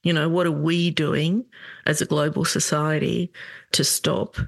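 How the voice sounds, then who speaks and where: A middle-aged woman hosting a solo commentary podcast, talking in an earnest, indignant way with halting restarts. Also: sits low, level tone, creaky, no echo. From Australia. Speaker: podcast host.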